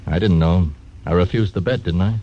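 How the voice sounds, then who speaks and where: An actor playing a blunt, fearless gambler, in 1940s radio acting. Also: male voice, low-pitched, a little fast, close to an everyday voice. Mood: menacing. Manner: menacing, blunt. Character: hard, fearless gambler and croupier. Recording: dull old radio recording, faint hiss.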